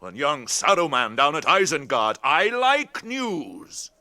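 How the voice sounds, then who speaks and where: An actor in a radio drama playing an ancient, ponderous Ent, a tree herder who speaks deliberately, humming and musing. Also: male voice, on the high side, very animated, bright, forceful tone, exaggerated voice. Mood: curious, amused.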